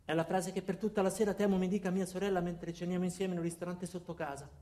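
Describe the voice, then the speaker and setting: A man's voice: high voice, fairly even intonation, quick pace, dark tone, close to an everyday voice. An actor playing an anxious, bewildered ordinary man who stammers through a theatrical radio monologue in speech. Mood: uneasy, wry. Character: anxious, bewildered ordinary man recounting his own trial. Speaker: narrator and lead actor. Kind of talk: theatrical radio monologue acting out several characters.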